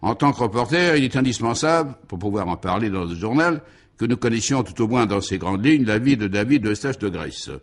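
A man voicing an eager, talkative reporter in a comic radio serial, with a brisk, mock-earnest manner. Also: fairly low pitch, expressive. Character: jovial male reporter friend, eager and talkative.

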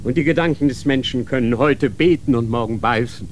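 An actor playing a cold, stern inquisitor who lectures with rhetorical questions. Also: male voice, medium pitch, a little fast, slight background noise, natural voice. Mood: severe. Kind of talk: radio drama acting.

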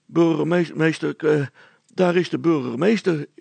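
Village policeman, plain and bumbling, children's story, male reader; husky, creaky, muddled delivery. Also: on the high side, normal speed, dark tone, dry recording, pitched above the performer's own voice, heavily acted. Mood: flustered. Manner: blunt, muddled, hesitant. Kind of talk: dramatized storybook reading.

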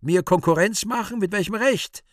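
A man's voice: high-pitched, normal speed, no echo, clean studio sound, pitched above the performer's own voice, natural voice. A man playing an imperious, jealous Virgin Mary as a haughty queen in a theatrical radio play. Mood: imperious, indignant.

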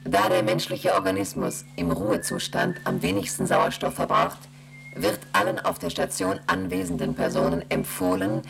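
A woman voicing a cold, rule-bound station computer in a radio play, reciting rules and figures in a flat, mechanical way. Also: very deep voice, normal speed, creaky, heavily acted.

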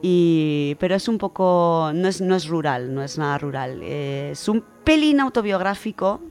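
A woman's voice: on the low side, lively intonation, normal speed, darkish tone. A woman with an accent from Spain, speaking enthusiastically as a radio contributor in a conversational book segment. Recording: music under the voice. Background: background music.

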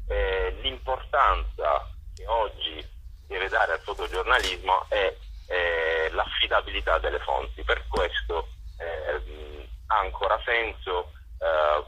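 A man's voice: deep voice, unhurried, sharp tone, husky, poor audio. A man organizing cultural events, answers interview questions, hesitant and full of fillers.

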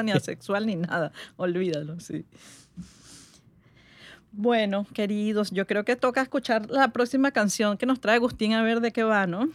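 A woman from Venezuela co-hosting a radio chat, calmly explaining. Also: mid-range voice, no echo.

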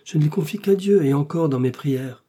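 A man's voice: a little high, a little fast, warm tone, close to an everyday voice. A man voicing an anguished, dignified queen torn between duty and love, formal and imploring, in a novel reading. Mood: troubled.

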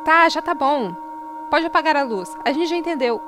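A woman's voice: sits high, expressive, normal speed, fairly bright tone, faint music behind. A woman acting an exasperated, house-proud woman in an amateur audio drama. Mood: impatient.

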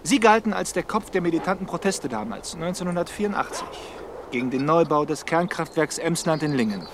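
A man's voice: fairly high pitch, expressive, fairly quick, background noise. A man playing a dogged police detective in a radio crime drama, questioning persistently with dry irony. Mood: probing, ironic. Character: dogged male police detective, ironic and probing.

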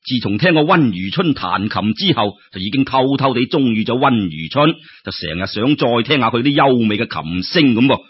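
A man with a Cantonese accent telling a story in an expressive, colloquial way, with exclamations and rhetorical questions. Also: medium pitch, moderate pace, few pauses, husky. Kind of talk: animated traditional Cantonese storytelling narration. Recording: dull lo-fi audio.

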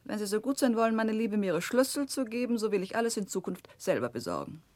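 An actress playing a severe, haughty middle-aged spinster in a 1960s German radio drama, curt, stiff and disapproving. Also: female voice, mid-range voice, big pitch swings, fast talker, a little mellow, no room sound, lightly acted. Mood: bossy, falsely polite.

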